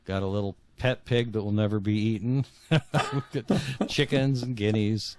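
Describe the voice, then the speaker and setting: A man's voice: on the low side, steady tone, normal speed, darkish tone. A middle-aged American man from Iowa answering casually as an interview guest, often repeating words as he goes. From northwest Iowa, United States. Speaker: podcast guest, fiction author.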